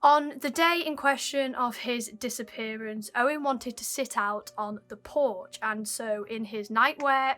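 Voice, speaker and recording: A young woman hosting her channel, telling an old mystery case in a chatty way while her voice is croaky and fading. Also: on the high side, a bit bright. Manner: chatty, apologetic, dwells on odd details. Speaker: YouTube host. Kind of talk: casual mystery storytelling to camera.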